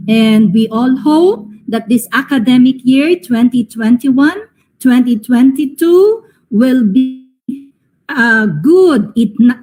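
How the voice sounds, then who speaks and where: A woman's voice: a little high, a little mellow, slight background noise, low-quality recording. A woman with a Filipino accent hosting an event in an informal, live style. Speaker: event host.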